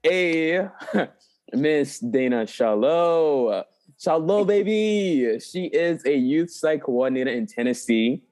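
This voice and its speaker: A young man hosts a laid-back podcast with lots of playful energy. He laughs easily and stretches words out for emphasis, sitting in a middle pitch. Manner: animated, playful, laughs often, stretches names. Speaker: podcast host. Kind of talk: casual interview podcast.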